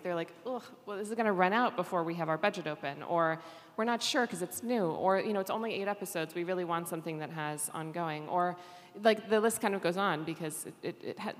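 A woman speaking off the cuff on a panel, emphatic and often restarting her phrases. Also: fairly low pitch. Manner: emphatic, halting with restarts and repeats. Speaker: panelist from a fiction podcast company. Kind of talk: unscripted panel discussion. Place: indoor conference panel hall. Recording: reverberant hall.

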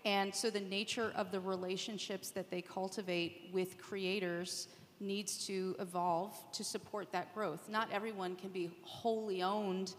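A woman on a panel, speaking assuredly in deliberate, emphatic phrases. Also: mid-range voice, little pitch movement. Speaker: panelist from the podcast industry. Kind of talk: unscripted pitch-like panel talk. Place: indoor conference panel hall. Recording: reverberant hall.

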